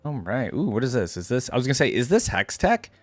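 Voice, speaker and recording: A man gives off-the-cuff commentary on game skins. His mid-pitched voice moves around in an animated, enthusiastic way as he thinks aloud. Music plays behind him. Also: quick pace, no room sound. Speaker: reaction video host. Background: game music and effects.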